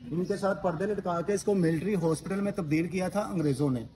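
A man's voice: high voice, fast, mellow, distant mic, a little hiss or hum. Man guiding a tour in echoey stone halls. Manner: explanatory, asks if listener follows. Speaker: tour guide. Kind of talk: guided tour explanation. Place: inside an old stone fort, in and out of doors.

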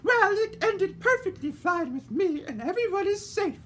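A man voicing a shrill, scatterbrained lady who talks in frantic shrieks, set back from the mic. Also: big pitch swings, moderate pace, fairly bright tone, heavily acted. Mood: fretful.

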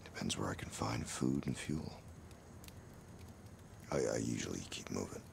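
Very deep, gravelly, gruff loner. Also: male voice, measured pace, slight background noise, full character voice. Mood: guarded, low. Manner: terse, blunt. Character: gruff, muscular, guarded male wandering loner. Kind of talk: naturalistic video game acting. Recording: loud music behind the voice.

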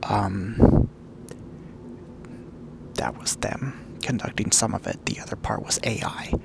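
Whispering, gravelly low-voiced man. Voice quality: breathy whispering with gravelly fry. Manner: halting, hushed delivery with frequent pauses. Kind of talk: hushed, unscripted monologue commentary.

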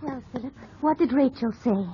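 An actress playing a pointed, jealous young gentlewoman in an old radio drama. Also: sits high, moderate pace, lightly acted. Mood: prodding. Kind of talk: 1950s radio drama acting. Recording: muffled, hissy old radio broadcast.

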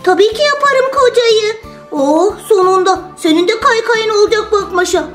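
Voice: extremely high pitch, expressive, normal speed, fairly bright tone, soft background music. A performer voicing a helpful magical girl in a children's toy play story, exclaiming and mildly scolding. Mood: helpful, firm.

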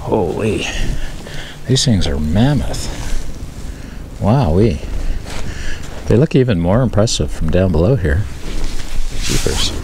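Deep-voiced man narrating a hike outdoors. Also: animated, slow pace, long pauses. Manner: chatty, easily amazed, lots of fillers. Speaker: hiking vlogger. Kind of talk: casual walking commentary. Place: outdoors in a wooded gully by an old rail bed. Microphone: action camera. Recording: noisy outdoor camera audio.

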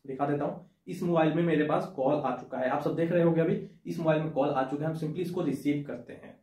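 A man's voice: a little high, steady tone, fast talker, dark tone. A young man presenting a phone tutorial to camera, explaining step by step and repeating his points. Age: young adult. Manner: explanatory, repeats points, addresses viewers as friends. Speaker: tech tutorial host. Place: small indoor room with shelves. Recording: echoey small room.

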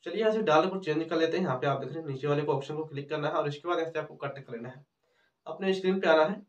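A man's voice: on the high side, rapid-fire. A man in his thirties explains things step by step to camera as a tutorial host, often repeating himself. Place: small indoor room with cloth backdrop. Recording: roomy, distant, dull microphone sound.

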